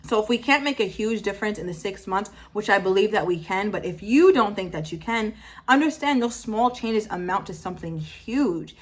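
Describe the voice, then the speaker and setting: A young woman in her twenties talks straight to her audience in a casual motivational style. Her voice sits at an everyday middle pitch, and she hammers her points home with repetition. She stops now and then to fix a word mid-sentence. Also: lively intonation, normal speed. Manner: emphatic, repeats phrases for effect, self-correcting. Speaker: motivational vlogger. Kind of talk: casual motivational talk to camera.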